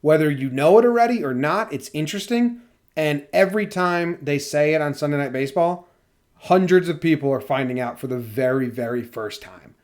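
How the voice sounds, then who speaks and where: A man's voice: on the high side, fairly quick. American man chatting casually about sports. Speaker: podcast host.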